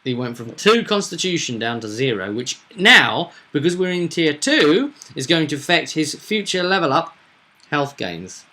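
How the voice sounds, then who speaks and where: A man's voice: fairly high pitch, sing-song, moderate pace, bright, forceful tone. A man hosting a casual recap of a tabletop roleplay session, telling it as a story and acting out bits of dialogue and sound effects.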